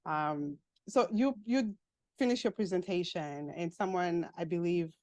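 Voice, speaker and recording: A woman's voice: fairly low pitch, unhurried, a little mellow, no echo. A woman hosting a podcast interview who came as an immigrant, asking questions with light laughter and hesitant fillers. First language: not English, grew up in an English-speaking country abroad. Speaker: podcast host.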